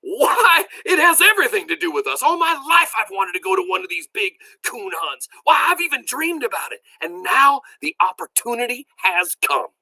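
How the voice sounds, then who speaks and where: A man voicing an eager, excitable old country grandpa in a storybook read-aloud, with folksy, emphatic delivery. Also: medium pitch, moderate pace, poor audio. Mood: excited, shouting. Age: older.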